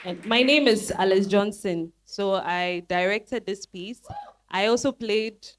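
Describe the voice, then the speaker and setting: Ghanaian woman narrating, mid-pitched voice. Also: measured pace, some chatter behind, a little hiss or hum. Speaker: director and narrator. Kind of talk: stage narration and addressing an audience.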